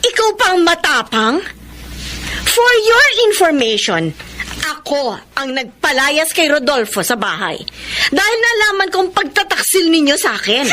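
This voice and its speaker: A proud, scheming middle-aged wife in a radio melodrama. She is haughty and deliberate, with hugely swinging intonation and a bright, cutting tone. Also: sits high, sing-song, slight background noise, exaggerated voice. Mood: scornful, indignant. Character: proud, scornful betrayed wife and scheming mother. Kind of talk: radio drama acting.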